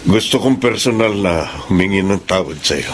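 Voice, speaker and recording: An actor playing a remorseful, middle-aged ex-convict father in a radio drama, speaking with a Filipino accent in an earnest, halting, pleading way. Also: low-pitched, expressive, noisy background. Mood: remorseful, confessing.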